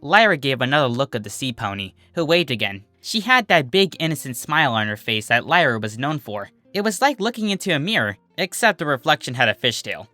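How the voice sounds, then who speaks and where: A narrator reading a scripted story in an animated storytelling style. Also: medium pitch, brisk, hardly any pauses, dry recording, faint music behind. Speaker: narrator, fan fiction reader.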